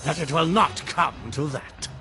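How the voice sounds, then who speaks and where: A man playing a proud, defiant warlord in an audio drama, with a gravelly voice and formal, declamatory delivery. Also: on the high side, a little slow. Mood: proud defiance.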